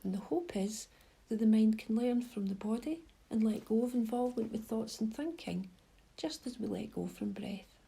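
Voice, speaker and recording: A woman leading a guided meditation in a soft, breathy voice, giving gentle, unhurried instructions with pauses. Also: on the high side, expressive. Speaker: mindfulness guide.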